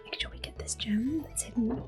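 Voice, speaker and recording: A woman giving gentle, soft-spoken ASMR gameplay commentary in a breathy whisper. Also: fairly high pitch. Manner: gentle, unhurried. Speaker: gameplay host. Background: soft game music.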